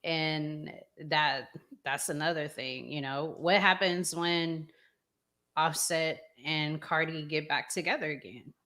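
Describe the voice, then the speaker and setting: A woman with a slightly low voice hosts a talk show in a casual, conversational style. She speaks at a markedly slow pace. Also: steady tone, bright, forceful tone. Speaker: host of a relationship talk show.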